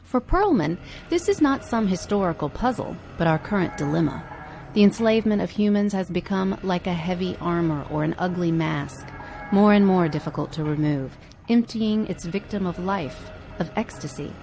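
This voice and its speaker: A woman podcast host reading scripted narration in measured, emphatic phrases. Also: fairly low pitch, animated, creaky, a little mellow, faint music behind.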